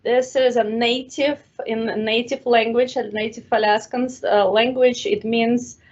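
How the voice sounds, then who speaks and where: Woman, Russian accent, middle-aged, rambling guest chat, higher voice, muffled lo-fi sound. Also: a little slow. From Russia, Moscow. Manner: animated storytelling with many fillers. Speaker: guest, art studio owner. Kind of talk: casual interview chat. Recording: dull lo-fi sound.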